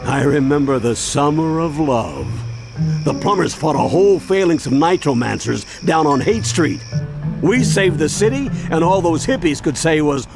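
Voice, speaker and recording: A man playing a genial, eccentric old grandfather and seasoned adventurer in an animated game cutscene. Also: on the high side, moderate pace, music in the background, poor audio. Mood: nostalgic. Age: older.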